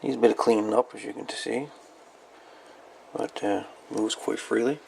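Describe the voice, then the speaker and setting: Deep, gravelly man in casual show-and-tell. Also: fairly even intonation, a little mellow, a little hiss or hum, rough recording. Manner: folksy, rambling, halting delivery. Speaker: radio hobbyist presenting salvaged parts.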